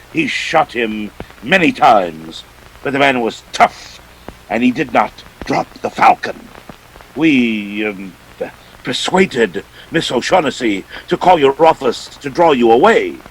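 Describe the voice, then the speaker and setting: An actor playing a jovial, pompous, scheming older crime boss with florid, courtly speech in a detective radio play. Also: male voice, medium pitch, a little hiss or hum, poor audio. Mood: smooth, regretful.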